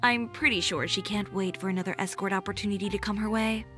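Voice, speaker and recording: A woman's voice: medium pitch, steady tone, fast, bright, forceful tone, soft background music, lightly acted. A woman voicing a composed, self-assured fashion designer who owns a boutique and speaks directly. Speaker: boutique owner. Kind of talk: video game voice acting.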